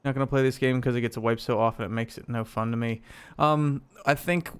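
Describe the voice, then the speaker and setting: A man's voice: medium pitch, steady tone, brisk, clear, resonant tone. A man streaming a video game live, chatting casually with viewers, rambling with lots of fillers as he loots and sorts gear.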